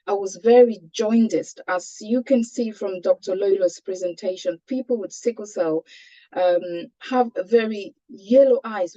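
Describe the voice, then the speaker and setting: A woman with a Cameroonian accent giving a personal testimony as a webinar panelist, earnest and measured in her delivery. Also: mid-range voice, dark tone. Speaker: panelist sharing a patient's experience. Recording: dull, lo-fi online call audio.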